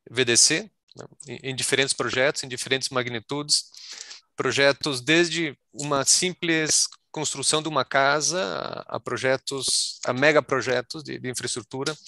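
A man's voice: mid-range voice, bright, forceful tone, no echo. A Brazilian man answering as a panelist on a video call, explaining at length and often repeating words as he searches for them.

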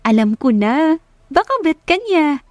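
A woman narrator plays a giddy young Filipina best friend in an audio love story. She pesters and teases in slangy exclamations, her voice pitched high and bright with excitement. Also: measured pace, no room sound. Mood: teasing.

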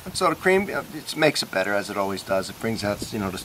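A man with a fairly low voice gives a laid-back coffee review outside in his yard. He rambles easily, thinking out loud between sips. Faint outdoor ambience sits behind him. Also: very animated, fast, background noise. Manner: easygoing, rambling, thinks aloud. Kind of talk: casual vlog review. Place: backyard outdoors.

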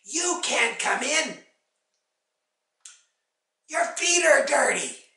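A woman's voice: sits low, unhurried, pushed and bright. A woman voicing a hungry, grumpy storybook witch who speaks in a curt, bossy way. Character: hungry, grumpy child-snatching witch of the forest. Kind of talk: dramatic oral storytelling. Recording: echoey room, distant microphone.

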